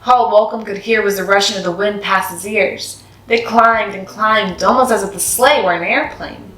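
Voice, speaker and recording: A woman's voice: medium pitch, moderate pace, a bit bright. A woman narrating a children's story expressively, as a read-aloud host. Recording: roomy echo, music behind.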